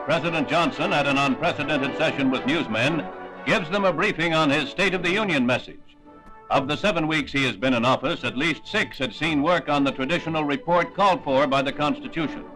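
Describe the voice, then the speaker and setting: A man's voice: fairly high pitch, poor audio. A man with an American accent narrating a 1960s newsreel in a formal, declamatory announcer style. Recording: 1960s newsreel soundtrack with music. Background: newsreel music.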